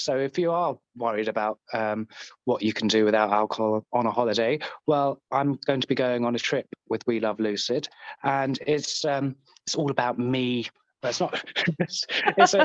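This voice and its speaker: A man's voice: medium pitch, dry recording, dull audio. A man with a Scottish accent chatting casually as a podcast guest, jokey and self-deprecating. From Scotland. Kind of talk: casual chat.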